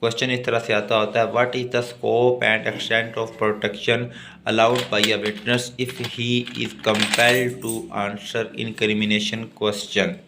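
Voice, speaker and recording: A man's voice: fairly low pitch, flat delivery, noisy background. A man with a Pakistani accent giving a didactic exam lesson as a law lecturer, explaining and reading out legal text. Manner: didactic, switching between Urdu explanation and slow English reading.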